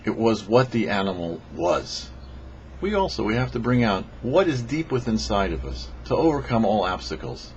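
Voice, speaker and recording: A man reading a scripted religious teaching as a rabbi and show host, phrasing deliberately and emphatically with pauses. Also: lowish voice, big pitch swings, a little mellow. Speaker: rabbi, host of a weekly Torah portion show. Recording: dull lo-fi audio with faint noise.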